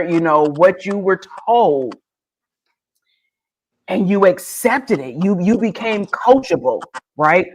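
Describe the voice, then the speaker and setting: A woman's voice: mid-range voice, poor audio. A woman hosting a casual interview podcast, playful and enthusiastic, hyping up her guest. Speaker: podcast host.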